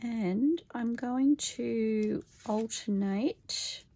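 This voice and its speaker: A woman with a croaky voice, chatting casually to camera about her craft project, thinking aloud and correcting herself as she goes. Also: fairly high pitch, very slow, dark tone. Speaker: crafter vlogging her junk journal project. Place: home craft table, filmed from overhead.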